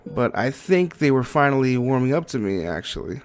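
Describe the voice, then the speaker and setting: A man acting as a grieving, guilt-ridden partner in an intimate audio roleplay, speaking tenderly and haltingly to a sweetheart. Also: mid-range voice, very animated, lightly acted. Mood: sad, gentle. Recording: clearly noisy track with ambience.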